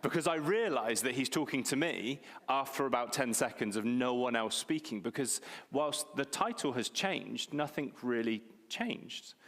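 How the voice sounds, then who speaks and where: A man's voice: medium pitch, animated, moderate pace, hardly any pauses, a bit bright. A man, preaching a sermon in a conversational, self-deprecating way and repeating key phrases for emphasis. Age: 40s. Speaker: pastor. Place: church hall. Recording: long reverb of a church hall.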